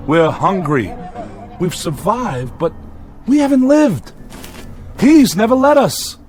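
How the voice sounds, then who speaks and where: An actor playing a starving, bitter man who speaks in a drawn-out, anguished way in a radio drama. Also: sits high, expressive. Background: loud music score.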